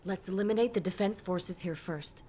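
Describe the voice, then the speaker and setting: A woman's voice: mid-range voice, moderate pace, warm tone, a little hiss or hum, natural voice. An actress playing a tough, informal female soldier in a video game, talking in a casual, slangy way. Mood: regretful, determined. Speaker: squad fighter.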